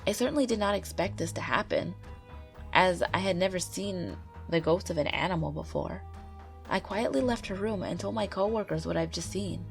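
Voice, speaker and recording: A woman with a stuffy nose from a cold, gently and earnestly reading true ghost stories aloud as the narrator of her channel. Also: fairly low pitch, moderate pace, creaky. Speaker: YouTube ghost story narrator. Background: faint background music.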